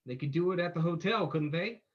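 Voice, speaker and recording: A man acts out a commanding gentleman sleuth in old radio drama style. He lays out his plans with confidence. The audio is somewhat dull and lo-fi. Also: on the high side, animated, a little fast, lightly acted. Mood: calm, plotting.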